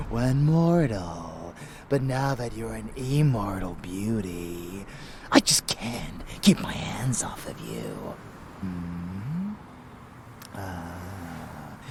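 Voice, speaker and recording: A man's voice: low-pitched, darkish tone, a little hiss or hum, full character voice. A man playing an arrogant, possessive vampire lover in an intimate ASMR roleplay, theatrical and teasing, slipping into whispers.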